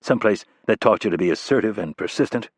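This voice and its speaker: A hard-nosed spy guy, voiced by a man reading the book, low gravelly-deep and clipped, dry sarcasm, close dry sound. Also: fast talker, dry recording, close to an everyday voice. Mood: dry, curt. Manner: low, curt and sardonic. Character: tough blunt male covert operative, dry humor.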